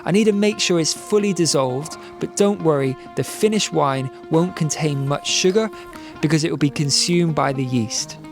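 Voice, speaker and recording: A man giving calm, scripted voice-over narration, explaining each step clearly. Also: on the high side, moderate pace, over music. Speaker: vlogger narrating.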